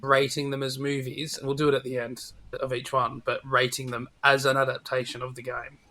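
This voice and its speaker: A man's voice: medium pitch, completely flat delivery, a little fast, pushed and bright. A man co-hosting a podcast, discussing films in a casual conversational style. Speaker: podcast co-host.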